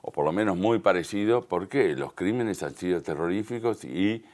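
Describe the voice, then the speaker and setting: Low-pitched Rioplatense man, interview guest. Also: animated, normal speed, darkish tone. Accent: Rioplatense Spanish. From Uruguay and Argentina, Río de la Plata. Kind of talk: conversational television news interview.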